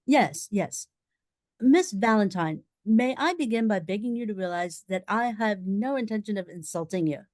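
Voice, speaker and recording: A woman playing a shifty gentleman fixer with formal, ingratiating politeness in a radio play. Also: medium pitch, animated, moderate pace, no room sound, lightly acted. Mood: smooth, formal.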